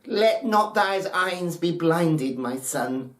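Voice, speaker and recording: A man voicing a frail, loving old mother in a folktale, speaking with gentle, earnest, measured care. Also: fairly high pitch, lively intonation, voice pushed up. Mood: tender warning. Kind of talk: dramatic folktale storytelling. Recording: roomy, echoing space.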